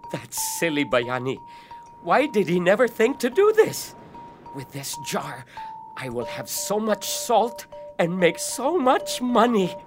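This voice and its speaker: A man's voice: sits high, huge pitch swings, takes it slowly, over music, full character voice. A male actor playing a greedy, boastful, jealous man in a children's radio play, with theatrical, exclamatory delivery. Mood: gleeful gloating.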